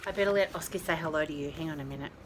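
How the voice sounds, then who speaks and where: A woman reading aloud to young children, adding chatty asides and questions to listeners, with drawn-out emphasis on key words. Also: low voice, background noise. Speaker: children's storyteller. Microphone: phone held nearby.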